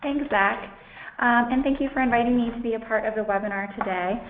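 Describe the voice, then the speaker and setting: A woman from Ohio who has a cold and is losing her voice, giving a measured, earnest webinar presentation as a guest speaker. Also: fairly high pitch. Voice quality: scratchy. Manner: measured, earnest, some ums. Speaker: guest speaker, hospital association advocacy director. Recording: tinny phone-line audio.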